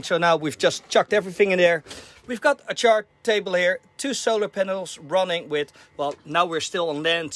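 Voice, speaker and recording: A man in his thirties with a Dutch accent, giving an excited tour of his sailboat while filming himself. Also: high-pitched. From Netherlands. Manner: enthusiastic, chatty, addresses viewers as guys. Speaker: sailing vlogger. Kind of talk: casual vlog boat tour. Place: on a sailboat in a harbor, cabin and deck. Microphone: camera at arm's length.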